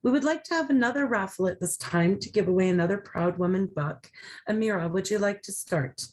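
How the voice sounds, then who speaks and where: A woman hosts an online community event. She thanks each speaker and politely introduces the next one. Her voice sits in a mid-range pitch. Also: fairly quick, a little mellow. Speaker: event host.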